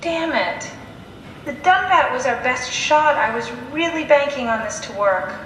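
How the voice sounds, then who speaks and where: A woman's voice: high-pitched, animated, a little slow, a bit bright, a little hiss or hum. An actress playing a curious, pragmatic woman scientist in a video game, talking in an analytical, matter-of-fact, conversational way. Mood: dismayed. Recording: echoey room sound with music behind.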